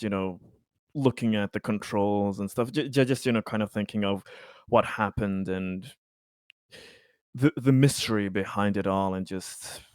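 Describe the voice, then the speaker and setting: A man playing a tabletop game, casually and haltingly describing what his character is doing. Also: on the low side, smooth, no echo, studio quality. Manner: hesitant, halting.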